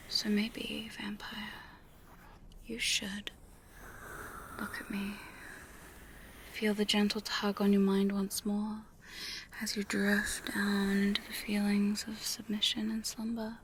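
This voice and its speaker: A woman's voice: medium pitch, completely flat delivery, fairly bright tone, a little hiss or hum. A woman playing a teasing, domineering female vampire hunter in a mostly whispered, seductive ASMR roleplay. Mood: hypnotic, coaxing. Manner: slow, breathy, seductive, commanding. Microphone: close studio condenser microphone.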